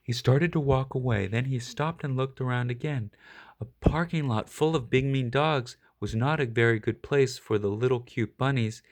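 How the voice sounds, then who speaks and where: A man reading a children's picture book aloud as an expressive storyteller. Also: mid-range voice, normal speed. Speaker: storybook reader.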